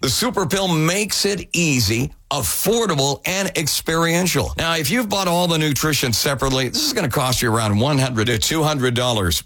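A man's voice: fairly high pitch, fairly bright tone. Upbeat male radio infomercial host. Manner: upbeat, pushy salesman. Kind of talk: radio sales pitch.

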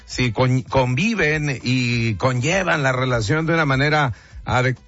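A man's voice: mid-range voice, a little slow, a bit bright. A man with a northern Mexican accent hosting a casual call-in radio show, colloquial and repetitive, talking directly to his listeners. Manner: colloquial, repeats himself, talks directly to listeners. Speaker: radio host. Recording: dull lo-fi radio sound, music behind. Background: music.

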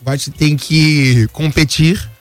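A man's voice: a little high, steady tone, slow pace, no room sound, soft background music. A Brazilian man chatting casually as a panellist on a radio sports show, with a teasing, joking way of talking. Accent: Brazilian Portuguese. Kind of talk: casual chat.

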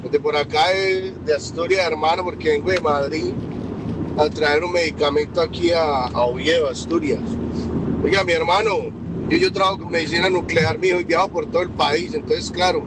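A man with a Colombian Paisa accent calling a radio show, effusive and slangy, full of flattery for the host. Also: a little high, normal speed. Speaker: radio caller. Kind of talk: friendly call-in chat. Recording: noisy line with traffic behind.

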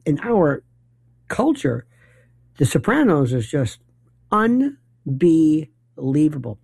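An older man hosting a late-night call-in radio show, musing aloud in a rambling, opinionated monologue with animated asides. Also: on the high side, vocal fry, husky, no echo. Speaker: radio talk show host. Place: radio studio.

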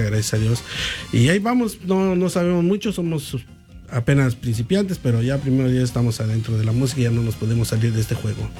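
A man's voice: mid-range voice, dark tone. A middle-aged man from Puebla with a Mexican accent, a humble and chatty band leader talking about his group.

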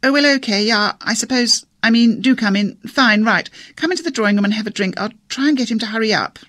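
Gaspy posh young secretary all in a flap, voice pitched way up, as a woman reader does her. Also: quick pace, a bit bright, no room sound, studio quality. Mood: flustered. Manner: gaspy, exaggeratedly grand.

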